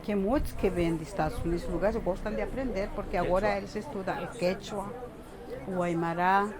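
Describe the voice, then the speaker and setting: An older woman from Bolivia with a Bolivian accent, talking haltingly in an interview, often repeating words and adding tag questions. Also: mid-range voice. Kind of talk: casual interview talk.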